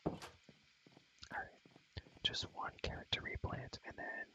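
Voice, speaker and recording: A young man whispering in a casual ASMR game tour, breathy and slangy, softly repeating his phrases. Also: measured pace, poor audio. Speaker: video creator showing a game world.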